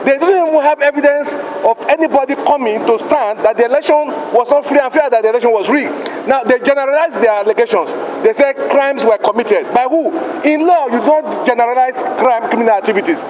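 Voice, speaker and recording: A man's voice: extremely high pitch, brisk, few pauses. A man with a Nigerian accent making an impromptu press statement as a political supporter. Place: outdoors near traffic. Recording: noisy outdoor street with traffic.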